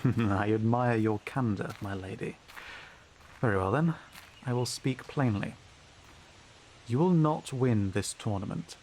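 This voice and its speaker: A man's voice: lowish voice, a little slow, pauses often, a little mellow, a little hiss or hum, close to an everyday voice. A man playing a smug, scheming, haughty knight in a fantasy audio roleplay, with a pompous, mocking drawl. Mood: gloating menace.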